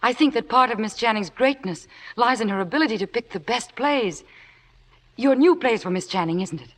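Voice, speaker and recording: Demure, earnest young admirer. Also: female voice, fairly high pitch, animated, fairly quick. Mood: eager, respectful. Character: demure, earnest young devoted theatre fan. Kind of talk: 1940s-50s radio drama acting. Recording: old radio broadcast recording.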